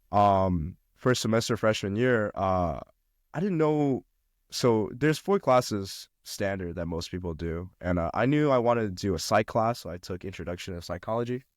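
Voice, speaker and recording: Chatty young man with a low voice. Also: no room sound, studio quality. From China. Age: young adult. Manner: chatty, full of fillers and restarts. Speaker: podcast guest. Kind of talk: casual conversation.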